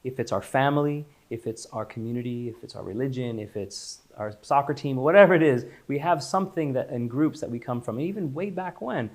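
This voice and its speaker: A man's voice: mid-range voice, lively intonation, fairly quick, few pauses, a little mellow. A man born in Israel and raised in Los Angeles, a company founder chatting as an interview guest in a loose, searching way with frequent restarts. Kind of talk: casual interview chat.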